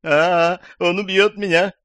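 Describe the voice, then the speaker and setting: A man's voice: medium pitch, lively intonation, a little fast, fairly bright tone, no room sound, pitched above the performer's own voice, natural voice. A man voicing a frightened, frantic elderly woman in an audiobook, crying out in panic. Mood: frantic pleading. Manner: panicky, breathless rattling outcries.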